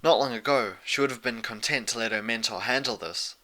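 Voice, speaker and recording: A man reads fiction as a narrator. His pitch sits in the middle and his tone is strikingly bright and crisp-edged, in a scripted storytelling style. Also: fairly quick, poor audio. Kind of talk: fan fiction audiobook narration.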